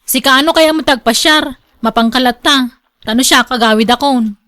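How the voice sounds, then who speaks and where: Young female lead: caring yet easily irked girlfriend, high voice, direct radio drama delivery, dry sound. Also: normal speed, pushed and bright, dry recording. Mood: annoyed. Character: young girlfriend, caring but quickly irritated. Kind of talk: Ilocano radio drama acting.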